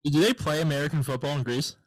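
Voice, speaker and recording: A man's voice: a little high, fairly even intonation, fast talker, no echo, poor audio. A man hosting a casual podcast interview over a video call, easygoing and rambling, with a lot of "like" in his speech. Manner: easygoing, filler "like", rambling questions. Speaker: podcast host.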